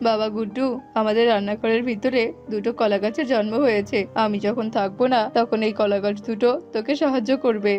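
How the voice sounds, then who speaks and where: A woman's voice: a little high, steady tone, fairly quick, hardly any pauses, darkish tone, lightly acted. A woman voicing a poor, sorrowful, tender jackal father with a plaintive, exclamatory cartoon delivery. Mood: earnest. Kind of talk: cartoon voice acting. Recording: muffled, music underneath.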